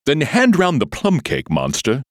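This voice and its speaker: A man's voice: medium pitch, huge pitch swings, unhurried, dry recording, clean studio sound, voice pushed up. A man voicing a big, weary, lordly lion in a storybook, with a commanding, emphatic delivery.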